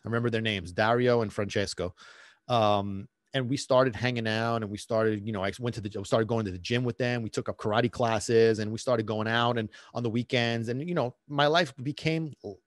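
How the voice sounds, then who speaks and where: A man's voice: medium pitch, dry recording. Give me a man talking on a home podcast, rambling and restarting, dry and close. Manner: rambling, many restarts and fillers. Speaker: podcast host, dog trainer. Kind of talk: casual personal storytelling. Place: small home room. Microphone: studio mic on boom, headphones.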